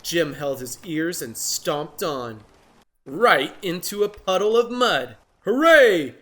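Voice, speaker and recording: A woman narrating a children's picture book as an expressive read-aloud. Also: deep voice, takes it slowly. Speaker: storybook narrator.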